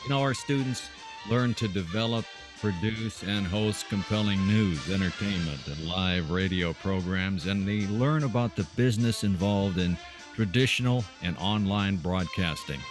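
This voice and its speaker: Lowish-voiced male radio host over music. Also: moderate pace. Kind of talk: scripted promotional announcing. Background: music bed.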